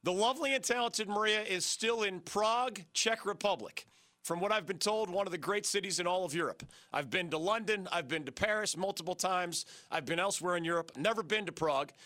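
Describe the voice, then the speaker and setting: A high-voiced American man runs through the weekend's scores on his sports radio show with a slightly gravelly, scratchy edge. His delivery is brisk and enthusiastic, and his bright tone sounds close and dry into a studio microphone. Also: no room sound. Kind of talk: sports talk radio monologue.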